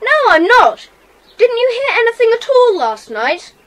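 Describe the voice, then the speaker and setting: A woman's voice: very high voice, big pitch swings, normal speed, fairly bright tone, full character voice. A woman playing a bold, inquisitive young boy detective with an eager, earnest manner in a children's audio drama. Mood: insistent.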